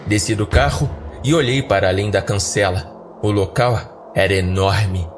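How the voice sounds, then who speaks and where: Brazilian guy reading a scary story, deepish voice, podcast narration with music behind. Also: fairly even intonation, moderate pace. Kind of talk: scripted first-person horror story narration. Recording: background music under narration.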